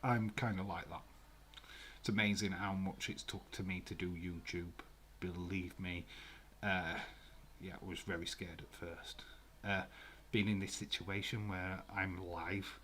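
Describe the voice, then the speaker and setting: A man's voice: low voice, fairly bright tone, a little hiss or hum. A man with a Glasgow accent hosting a live watch-along stream from a room at home, chatting casually with viewers in a rambling way with many fillers. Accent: Glaswegian. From Scotland.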